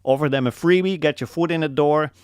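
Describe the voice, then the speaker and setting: A man's voice: a little high, a little fast, smooth, dry recording, clean studio sound. A young man from the Netherlands with a Dutch accent, hosting a casual live coaching call and hammering home his points with repetition. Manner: emphatic, repeats key points, calls listeners man.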